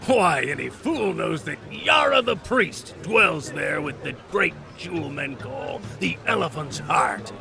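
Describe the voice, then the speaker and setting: Gravelly, swaggering fantasy rogue. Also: male voice, on the high side, very animated, measured pace, hardly any pauses, sharp tone, soft background music, heavily acted. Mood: scornful mocking. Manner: bawdy, mocking, theatrical bluster. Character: boastful, lecherous fat Kothian rogue and kidnapper. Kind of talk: fantasy audio drama acting.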